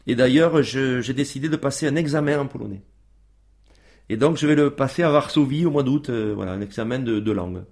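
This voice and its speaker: A man's voice: medium pitch, brisk, a little mellow. A man as a guest on a radio show, talking casually with many fillers and restarts.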